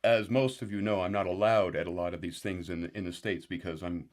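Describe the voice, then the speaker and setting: A man's voice: sits low, a little fast, natural voice. A man playing a rambling paranoid uncle. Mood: rambling indignant. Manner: rambling, excitable outbursts. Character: rambling paranoid uncle guest, father of sixteen. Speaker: guest. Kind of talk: deadpan parody talk show.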